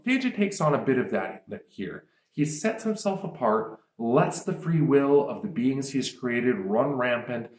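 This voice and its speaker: Man explaining his story in a roomy space. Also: medium pitch. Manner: casual, explanatory, with frequent ums. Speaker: author. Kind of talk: author commentary. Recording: roomy echo.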